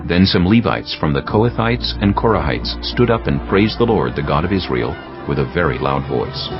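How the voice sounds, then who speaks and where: Deep-voiced solemn male narrator. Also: animated, normal speed, muffled recording, music in the background. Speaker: audiobook narrator. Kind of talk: scripted scripture narration.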